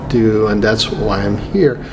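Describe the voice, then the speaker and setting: A male professor explaining research in a lecture-like way, with halting, hesitant delivery. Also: on the low side. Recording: clearly noisy room ambience.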